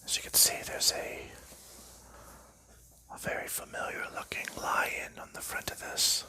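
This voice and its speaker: A man whispering softly through a calm, slow ASMR unboxing of a board game, explaining each piece as he goes. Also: low-quality recording. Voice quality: soft unvoiced whisper.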